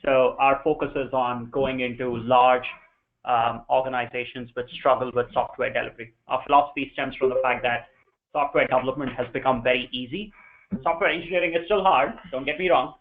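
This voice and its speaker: A man's voice: mid-range voice, fairly even intonation, a little fast. A man giving an informal live talk as a guest speaker, with emphatic, repetitive phrasing. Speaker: guest speaker, DevOps consultant. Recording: tinny, phone-like narrow band.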